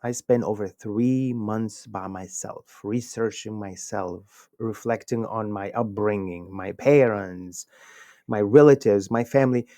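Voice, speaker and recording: A man's voice: fairly low pitch, no room sound, clean studio sound. Egyptian-born man telling a personal story. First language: Egyptian Arabic. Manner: animated, chuckles often, quick bursts. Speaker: podcast guest, communication researcher. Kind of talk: conversational storytelling.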